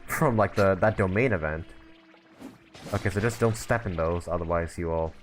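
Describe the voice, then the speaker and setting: Very deep-voiced man streaming a game. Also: music in the background. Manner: halting, thinking aloud. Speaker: livestreamer playing a video game. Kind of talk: casual live gameplay commentary.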